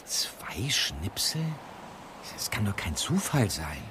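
An actor playing a puzzled, curious young amateur detective in a German audio drama. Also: male voice, lowish voice, sing-song, slow, whispered. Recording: clearly noisy outdoor ambience.

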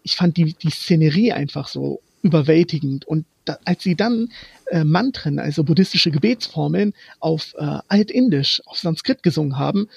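A young man from Germany whose first language is Romani, a guest in an interview, talking in halting bursts as he hunts for words. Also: fairly high pitch, lively intonation, gravelly, breathy edge, no room sound. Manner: halting, pausing often to find words. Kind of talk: interview conversation.

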